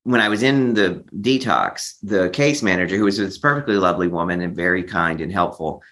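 Guy w a low voice telling personal stories in an interview, candid, bit theatrical. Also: a little fast, fairly bright tone. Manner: candid, theatrical, acts out quoted remarks. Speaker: interview guest, memoir author.

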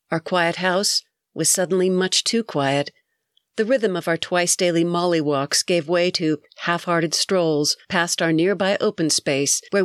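A middle-aged woman hosts her own show, her voice a bit low and stuffy from a cold. She reads a personal story in a reflective, gently wry way. The recording is dry and close, with no room sound. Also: no room sound. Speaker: podcast host. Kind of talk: reading a personal essay.

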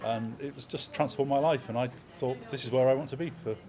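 A man in his sixties, an opera company manager, talking in a measured, anecdotal way in an interview. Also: mid-range voice. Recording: crowd chatter in background.

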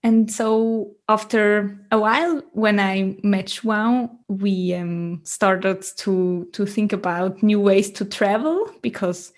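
Casual interview guest: woman, very unhurried, searching for words. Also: medium pitch. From Switzerland. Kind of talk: casual interview talk in a second language.

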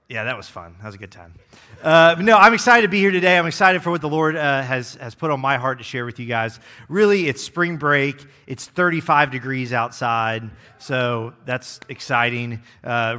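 A young man preaching a sermon as executive pastor, relaxed and joking, with many fillers. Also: medium pitch. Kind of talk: casual sermon. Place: church.

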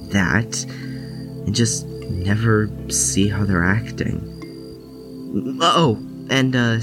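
A man playing a guarded, anxious young patient in an audio drama, with a halting, reserved manner. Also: fairly low pitch. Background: music.

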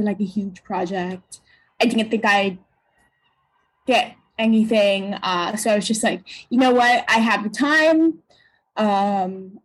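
A young American woman with a high, childlike voice chats in a casual interview. She speaks reflectively and a little hesitantly, finding her thoughts as she goes. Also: low-quality recording. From Pennsylvania, United States. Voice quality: childlike, youthful tone. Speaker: voice and stage actor, interview guest.

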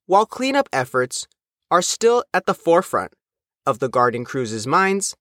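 Male news reporter for scripted narration, animated intonation, very dry close recording. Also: a little high, moderate pace, no room sound.

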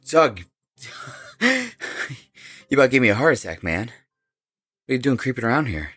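A man acts out a hostile young male rock musician in an audio drama. His voice is bright and hard-edged, swinging widely in pitch. He is evasive, defensive and threatening. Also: huge pitch swings, moderate pace, slightly rough, exaggerated voice. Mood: startled. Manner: defensive, menacing.

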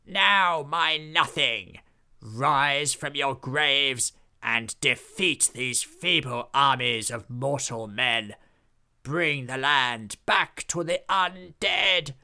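A man voicing a sinister male necromancer who slowly and grandly calls the dead to rise. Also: pushed and bright, exaggerated voice. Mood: commanding. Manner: booming, slow incantation.

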